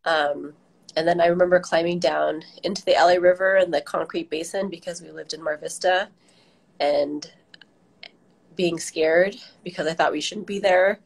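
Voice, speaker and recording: A woman's voice: lowish voice, fairly even intonation, moderate pace, gravelly, rough recording. A middle-aged woman with an American accent answering interview questions reflectively over a video call from a room at home. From Southern California, US. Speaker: interview guest, garden director. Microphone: video call, device at arm's length.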